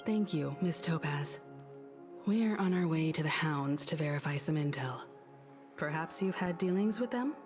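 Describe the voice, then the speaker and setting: A woman voicing a calm, analytical strategist with a formal, measured delivery in a video game. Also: low voice, over music. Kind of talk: game voice acting.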